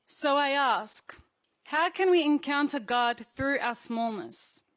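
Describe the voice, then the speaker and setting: A woman's voice: sits high, animated, slow, a bit bright. A young adult woman with an Australian accent, delivering a prepared speech to an assembly as a youth delegate in an earnest, personal manner.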